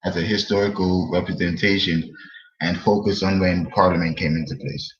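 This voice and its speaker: A man co-hosting a casual history discussion, speaking hesitantly with many pauses and fillers. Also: low-pitched, steady tone, highs cut off.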